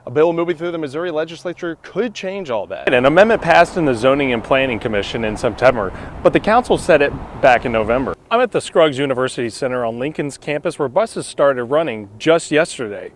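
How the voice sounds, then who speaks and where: A man's voice: mid-range voice, expressive, fairly quick, few pauses, a little hiss or hum. Young American man reporting local TV news.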